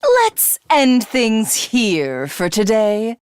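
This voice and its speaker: A haughty alien villainess from a video game, with a fairly high voice that swings dramatically in pitch. She speaks very slowly and theatrically, as a menacing megalomaniac hungry to devour everything. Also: huge pitch swings, clear, resonant tone, no room sound, exaggerated voice. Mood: condescending.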